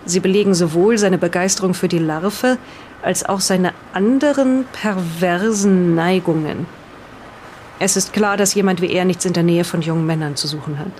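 A woman's voice: on the low side, a little mellow, slight background noise, lightly acted. A woman playing a hesitant, bewildered reader of an old diary, reading aloud and commenting in an audio drama. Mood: absorbed reading. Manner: halting, breaks off to comment on the reading.

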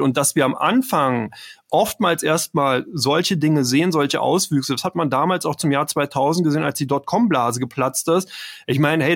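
A man's voice: fairly high pitch, no echo, studio quality. A middle-aged man from Berlin, a trading expert being interviewed, explaining at length in a rambling, conversational way with many fillers. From Berlin, Germany.

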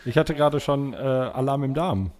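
A man with a medium-pitched voice co-hosts a podcast. He moderates loosely and joins in the banter in a relaxed, conversational style. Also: normal speed, a crowd in the background. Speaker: podcast co-host.

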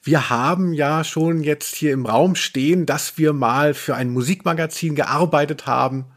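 A man's voice: a little high, normal speed. A man co-hosting a pop-culture podcast, chatting casually in a jokey, rambling way. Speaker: podcast co-host.

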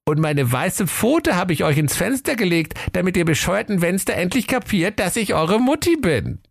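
A man's voice: sits high, very animated, moderate pace, gravelly, no echo, studio quality, voice pushed up, exaggerated voice. A man voicing a police inspector who pretends to be a sweet mother, wheedling in a comic fairy tale.

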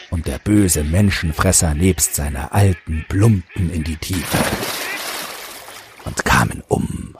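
A man's voice: sits low, darkish tone, slightly rough, dry recording. A man narrating a scripted fairy tale as an audiobook storyteller, close to the microphone. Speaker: narrator, podcast host.

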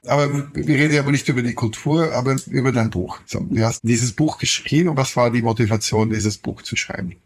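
Husky Flemish-accented man interviewing. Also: mid-range voice, a little fast, few pauses. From Flanders, Belgium. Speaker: podcast host, interviewer. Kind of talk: casual conversational interviewing.

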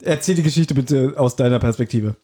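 A man's voice: medium pitch, brisk, warm tone, breathy edge. A man in a casual podcast chat, prompting and teasing his co-hosts as he retells a story. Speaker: podcast co-host. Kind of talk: casual chat, retelling an audio drama episode.

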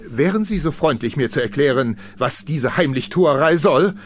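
An actor playing a stern, formal gentleman who coolly demands answers in a radio play. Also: on the high side, lively intonation, moderate pace, close to an everyday voice. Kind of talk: German radio play acting.

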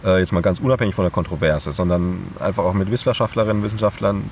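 A man's voice: low-pitched, quick pace, darkish tone, a little hiss or hum. A man who hosts a podcast, talking casually and explaining things informally as he thinks aloud. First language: German. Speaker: podcast host. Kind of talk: casual conversational interview talk about podcasting.

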